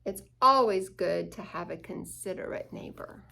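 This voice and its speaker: A woman's voice: on the low side, normal speed, gravelly, sharp tone. A woman reading a children's picture book aloud, expressively, with chatty asides and questions to her listeners. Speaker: storytime reader at a church.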